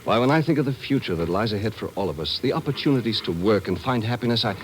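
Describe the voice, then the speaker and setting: An actor playing an earnest, heartfelt Israeli airline part-owner and former refugee in a 1950s radio drama. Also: male voice, on the low side, lively intonation, fast talker, natural voice, low-quality recording. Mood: heartfelt, hopeful. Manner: earnest, heartfelt, hesitant at times. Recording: vintage radio recording with background noise.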